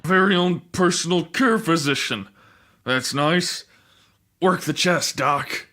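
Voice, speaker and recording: A man's voice: fairly high pitch, slow, pushed and bright. A man voicing a tough, blunt young male fighter.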